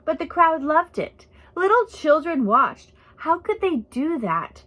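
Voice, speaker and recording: A woman's voice: high-pitched, sing-song, slow pace, fairly bright tone, pitched above the performer's own voice, exaggerated voice. A woman voicing a horrified younger missionary woman in a storybook, wailing and emotional. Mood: upset. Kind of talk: storybook character reading.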